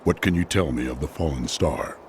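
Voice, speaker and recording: An actor playing a grim, resolute male hero in a game, speaking in a solemn, steady way. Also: rumbling low, fairly quick, slight background noise.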